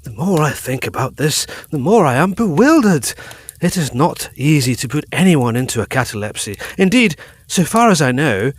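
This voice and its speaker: A learned victorian doctor, pedantic n excitable, highish voice swinging all over, gothic radio play. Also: male voice, moderate pace, no echo. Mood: bewildered, pondering. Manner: pedantic, emphatic, theatrical. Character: learned, excitable male doctor pondering mysteries. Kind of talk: period gothic audio drama acting.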